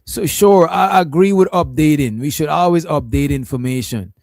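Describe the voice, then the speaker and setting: A man's voice: on the high side, moderate pace, darkish tone, no room sound. A man hosting a casual stream, reacting to a clip in a laid-back, profane, rambling way.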